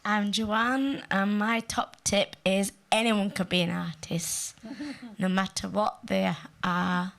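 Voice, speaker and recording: A woman artist shares a short, emphatic tip on a panel, laughing as she speaks. Her slow voice has a gravelly fry. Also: mid-range voice, fairly bright tone.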